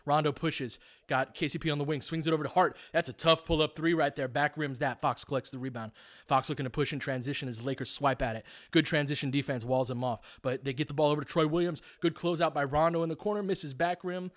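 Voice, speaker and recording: A man's voice: on the high side, steady tone, quick pace. A man doing live basketball play-by-play, speaking in clipped, informal phrases and adding tactical analysis as he goes.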